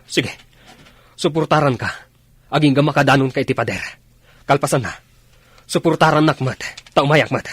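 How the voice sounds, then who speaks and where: A man's voice: on the high side, normal speed, long pauses, slightly rough. An actor playing a grave, firm army officer concerned for his men in an Ilocano radio drama. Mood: firm, urgent.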